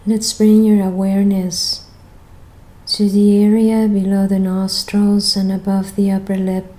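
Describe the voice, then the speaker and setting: A woman leading a guided meditation as the guide, speaking in a gentle, soothing manner with long pauses between phrases. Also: mid-range voice, monotone, low-quality recording.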